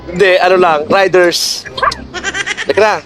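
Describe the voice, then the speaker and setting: A man's voice: high-pitched, steady tone, a little slow. A Filipino man chatting casually and playfully as a motorcycle rider vlogger, teasing and joking, speaking into a helmet intercom. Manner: teasing, jokey. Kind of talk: casual playful chat with a passenger. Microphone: helmet intercom headset. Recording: music behind the voice. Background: music.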